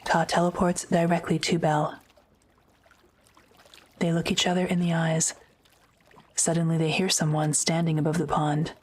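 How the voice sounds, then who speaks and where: A woman narrating an audio drama script in a measured, matter-of-fact way. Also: sits low, flat delivery, darkish tone, slightly rough.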